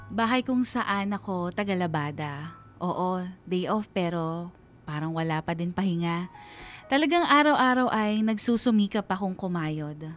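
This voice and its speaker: A woman's voice: medium pitch, natural voice. An actress playing a devoted, struggling Filipino single mother, narrating her life story earnestly in a scripted radio drama. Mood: weary.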